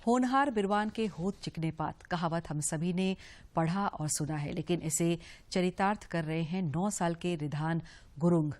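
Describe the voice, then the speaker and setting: A woman news anchor reading regional news in a steady, formal delivery. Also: on the low side, moderate pace.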